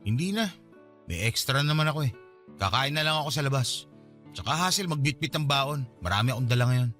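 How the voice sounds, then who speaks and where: A man's voice: medium pitch, lively intonation, fairly quick, a bit bright, faint music behind, natural voice. A man playing a defensive, resentful husband in a Tagalog radio drama, dismissive and argumentative. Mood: distracted, curt.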